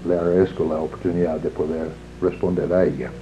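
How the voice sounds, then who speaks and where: An older native English speaker talks in a slow, formal, reflective manner, his voice sitting on the low side. The sound is thin and narrow like an old video tape, with a faint hiss underneath. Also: flat delivery. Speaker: interviewee. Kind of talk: formal recorded interview. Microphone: camera mic.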